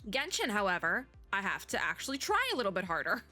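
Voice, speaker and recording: A woman doing casual reaction commentary as a VTuber, chatty, expressive and slangy. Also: medium pitch, quick pace, soft background music.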